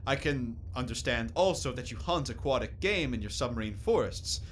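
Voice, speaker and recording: A man plays an inquisitive, well-mannered professor in a radio play. He has a medium-pitched voice and formal, questioning delivery. Background noise is clearly present. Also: lively intonation, moderate pace, close to an everyday voice. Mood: puzzled, inquisitive. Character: polite, inquisitive male professor, a guest aboard. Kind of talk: theatrical radio play acting. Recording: clear background noise, live stage recording.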